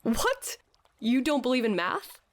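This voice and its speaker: A woman's voice: fairly high pitch, big pitch swings, measured pace, dry recording. An actress playing a sceptical, wisecracking young woman in a scripted audio drama, with an incredulous, slangy, joking way of talking.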